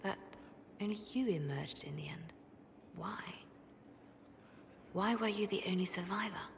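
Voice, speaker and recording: A subdued, devout spymaster from a video game, speaks in a very slow, halting, breathy voice with gravelly creak. She sounds searching and full of grief, in a long reverb over some noise. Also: fairly low pitch. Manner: halting, deliberate. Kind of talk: dramatic video game voice acting.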